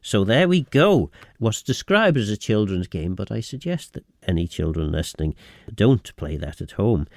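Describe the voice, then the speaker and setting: A man's voice: on the low side, big pitch swings, normal speed, darkish tone, dry recording, clean studio sound. A man patiently explains the meaning of an old children's rhyme.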